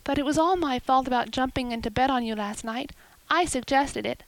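A woman's voice: high voice, a little fast, no room sound, pitched above the performer's own voice, rough recording. A woman voicing an eager, dramatic young orphan girl who talks earnestly and breathlessly. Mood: earnest pleading.

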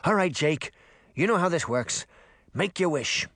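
Man voicing a grouchy, gurgling talking fish, high-pitched. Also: lively intonation, a little slow, a bit bright, no echo, studio quality. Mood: grumbling, blunt. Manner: gruff complaining, streetwise insults. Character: grouchy, sarcastic, wisecracking male talking fish.

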